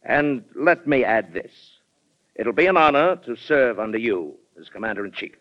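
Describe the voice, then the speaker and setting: A man acts out a jovial, war-hungry colonel and former statesman in a vintage radio play. He speaks emphatically, like an orator at middle pitch, through a dull, muffled broadcast recording. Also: lively intonation, normal speed, lightly acted. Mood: hearty. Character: hearty, bellicose ex-president colonel, eager for war. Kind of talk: old-time radio drama acting.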